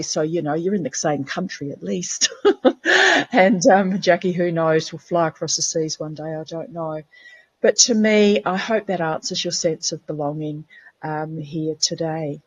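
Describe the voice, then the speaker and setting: Casting: heartfelt woman guest, husky voice, close dry sound, quiet trailing endings. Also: lowish voice, no room sound, muffled recording. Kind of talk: casual heartfelt sharing.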